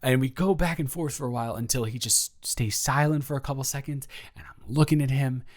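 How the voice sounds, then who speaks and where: A man's voice: medium pitch, fairly quick, lightly acted. A man playing a flustered, self-deprecating young man who rambles and stammers in an improvised audio roleplay monologue. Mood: baffled, animated. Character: flustered, rambling young man nervously confessing to his roommate.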